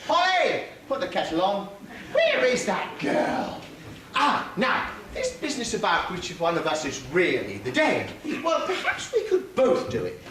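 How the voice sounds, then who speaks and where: Brash comic panto dame, unhurried and hugely animated, chatty, in a distant echoing hall. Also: mid-range voice. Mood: brash, self-important. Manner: broad, chatty, calls to the audience. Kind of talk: stage pantomime acting. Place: theatre stage, live amateur pantomime. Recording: distant, very echoey, noisy stage recording.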